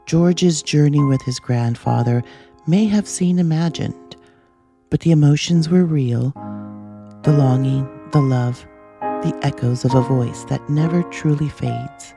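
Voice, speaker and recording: A podcast host speaking in a measured, earnest, reflective way, with scripted narration and gentle interview questions. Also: mid-range voice, vocal fry, over music.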